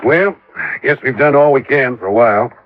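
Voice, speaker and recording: A man's voice: medium pitch, lively intonation, fairly quick, slight background noise, lightly acted. An American man playing a plain-spoken, easygoing police captain in a 1950s radio drama, matter-of-fact and folksy. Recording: dull vintage radio recording.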